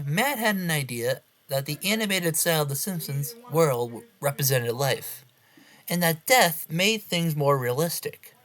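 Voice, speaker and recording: A woman's voice: deep voice, a bit bright. A woman reading a scripted horror story aloud as its narrator.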